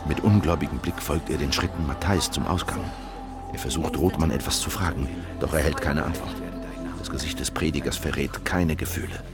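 Grim revolutionary, radio drama, very low voice, terse, grave, intense, loud music behind. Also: male voice, a little fast. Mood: grave, tense. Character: grim, determined revolutionary telling his own story. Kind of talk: radio drama narration and dialogue.

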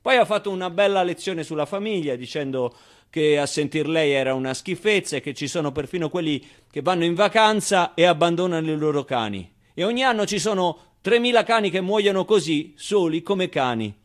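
Theatre monologue: male actor as wry, tender orphan boy, higher-pitched voice, confiding and conversational. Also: expressive, brisk, lightly acted. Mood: wry, candid. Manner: conversational, hesitant interjections, emphatic repetitions. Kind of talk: theatrical monologue, intimate storytelling.

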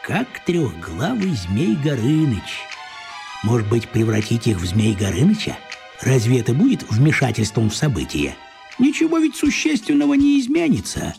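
An actor playing a kindly old wizard who poses as a postman, talking in a breathy, musing voice with swooping pitch. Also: wildly swooping pitch, moderate pace, darkish tone, faint music behind. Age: older. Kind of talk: radio play acting.

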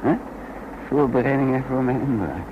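An actor playing a brooding, scheming, sardonic intelligence chief with a musing, intimate radio drama delivery. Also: male voice, medium pitch, normal speed, noisy background, close to an everyday voice. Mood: frustrated, scheming. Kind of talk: mid-century European radio drama acting. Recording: dull, lo-fi old radio recording.

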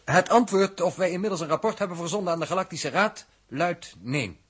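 A man's voice: sits high, brisk, fairly bright tone, a little hiss or hum. An actor playing a cautious, literal-minded spaceman, hesitant and precise, in a 1960s science-fiction radio drama. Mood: precise, formal.

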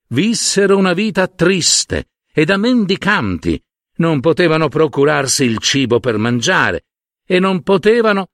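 A male storyteller narrates a fairy tale with a wide, swooping pitch range. He takes his time, speaking slowly with deliberate dramatic pauses. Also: no echo, studio quality.

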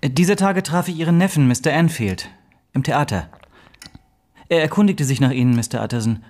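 An actor playing a respectful, hesitant clerk in a German radio play, speaking with careful tact and deference. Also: male voice, fairly high pitch, lively intonation, a little fast, a little mellow, natural voice. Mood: tactful, deferential. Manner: deferential, tactful.

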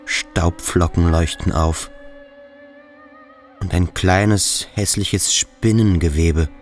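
A man giving scripted radio play narration in terse fragments with pauses between them. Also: deep voice, steady tone, faint music behind. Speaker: second narrator.

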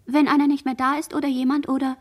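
A woman's voice: high voice, little pitch movement, fast, close to an everyday voice. A woman playing a dazed young girl who murmurs a fragmented, incantatory inner monologue in a literary radio play. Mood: fragmented, musing.

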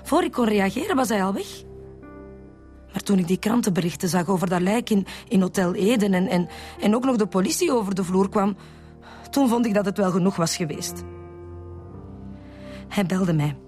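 An actress with a Flemish accent playing a lovesick, betrayed woman confessing haltingly to the police in a radio drama. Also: medium pitch, animated, darkish tone, soft background music, close to an everyday voice. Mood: resigned. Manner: halting, repeats words.

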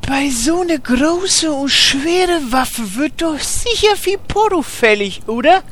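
An actor playing Horst, a big, strong but naive warrior who keeps asking puzzled questions, in a comedy radio play. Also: male voice, very high-pitched, expressive, slow pace, a bit bright, slight background noise, exaggerated voice.

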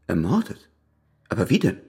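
Evasive husband, deep voice, husky, hesitant, guarded, radio crime play. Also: male voice, huge pitch swings, moderate pace, darkish tone, full character voice. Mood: concerned. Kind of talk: radio crime drama acting.

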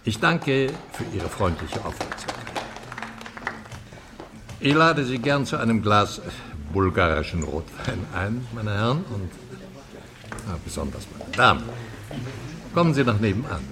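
A man's voice: on the low side, sing-song, a little slow, long pauses, over music. An actor playing an older male economics professor in a radio drama, lecturing with courtly irony and rhetorical flourish. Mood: courtly, charming. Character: charming, sardonic old male economics professor and dissident.

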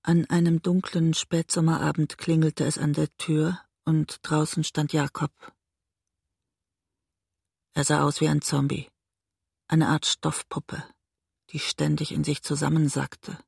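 An actress playing a weary, emotionally raw middle-aged woman in a radio drama, intimate and restrained, with a husky, breathy voice. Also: low voice, little pitch movement, unhurried, long pauses, darkish tone, no room sound, studio quality, full character voice. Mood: reflective. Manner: intimate, restrained, literary narrating. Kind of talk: radio drama narration and dialogue.

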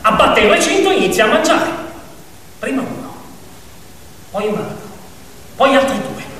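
A man narrating a fable as a theatrical dramatic monologue, with emphatic build-ups and pauses. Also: very high voice, lively intonation, fairly bright tone, a little hiss or hum. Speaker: actor and storyteller. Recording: echoey, distant reverberant hall with music.

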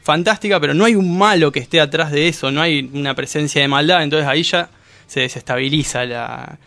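An Argentine man in a casual radio discussion, explaining things in a halting way, correcting himself and often checking that he is followed. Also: fairly high pitch, vocal fry, bright, forceful tone, no echo. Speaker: guest discussing comics and manga.

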